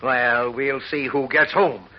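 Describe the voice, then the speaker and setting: An actor playing a blustering, excitable middle-aged newspaper chief in a 1940s radio drama. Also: male voice, medium pitch, takes it slowly, close to an everyday voice. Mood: defiant. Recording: dull old radio recording.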